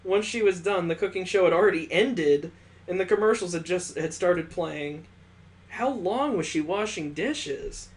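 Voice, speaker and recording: Expressive man with a higher-pitched voice. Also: a little slow. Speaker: let's play host. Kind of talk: visual novel read-aloud with joking asides.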